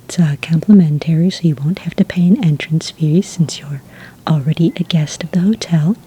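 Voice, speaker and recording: A woman doing a soft-spoken, whispered ASMR roleplay as a friendly witch at a hotel front desk, gentle and polite in a breathy voice. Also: sits low, moderate pace. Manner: gentle, polite, customer-service phrasing.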